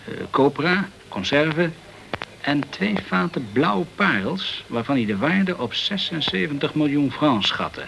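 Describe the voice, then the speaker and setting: A man's voice: a little high, very animated, measured pace, gravelly, fairly bright tone, a little hiss or hum, heavily acted. A man playing a polite, chatty journalist, peppering his talk with words, in a radio play. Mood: grave, informative. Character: polite, talkative French journalist in the tropical West Indies. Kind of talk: Dutch radio play acting.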